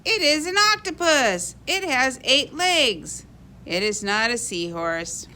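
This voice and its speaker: A woman reading a children's picture book aloud in an expressive, animated way for young kids. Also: high-pitched, slow pace. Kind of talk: expressive read-aloud storybook narration.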